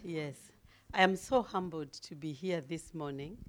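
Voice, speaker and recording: A middle-aged woman from Kenya with a deep voice, preaching as a guest speaker, humble and given to laughing, growing loud and emphatic as she reads scripture. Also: measured pace. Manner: grows loud and emphatic when reading scripture. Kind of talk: sermon.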